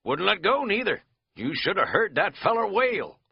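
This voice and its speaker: Man reading a high, folksy rural innkeeper for an audiobook; lively, blunt. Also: normal speed, vocal fry, slightly rough, dry recording, muffled recording, heavily acted. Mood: gossipy.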